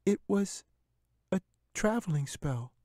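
A man voicing a timid, polite little girl in a much higher voice. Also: animated, takes it slowly, mellow, no echo, clean studio sound. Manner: small, eager to please.

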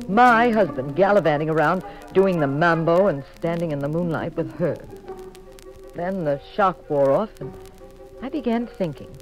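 Actress as a wry wife narrating, music behind. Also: female voice, fairly low pitch, lightly acted, low-quality recording. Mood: wry, thoughtful. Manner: wry, arch. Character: witty, jealous detective's wife narrating her case. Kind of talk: 1950s radio drama narration.

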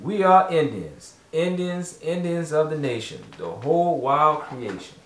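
A man voicing a majestic, proud Mardi Gras chief from a storybook, with loud, drawn-out chanting. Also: a little high, big pitch swings, pitched above the performer's own voice. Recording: echoey room, distant mic.

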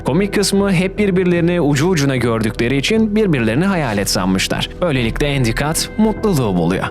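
A man narrating a scripted story recap in a casual, storytelling way with short, declarative sentences. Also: mid-range voice. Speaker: video essay narrator.

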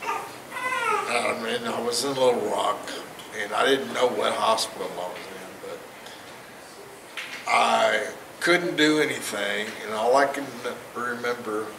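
A man's voice: medium pitch, sing-song, slow pace, gravelly. A man in his fifties telling a personal testimony to a church congregation, rambling and chuckling at his own jokes. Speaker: church member giving testimony. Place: church sanctuary stage, indoors, large. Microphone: far camera, no mic seen. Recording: roomy echo from far off, noisy.

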